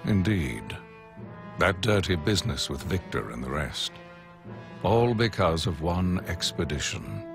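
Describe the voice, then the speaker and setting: An actor playing an urbane, scheming old cardinal in a theatrical, deliberate manner for a dramatic video game. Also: male voice, low voice, full character voice. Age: older.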